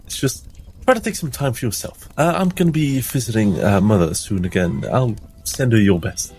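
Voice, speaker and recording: A man's voice: medium pitch, expressive, moderate pace, darkish tone, faint music behind, close to an everyday voice. A man playing a skinny, caring young inquisitor and dutiful son in a tabletop fantasy game. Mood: caring, gently teasing.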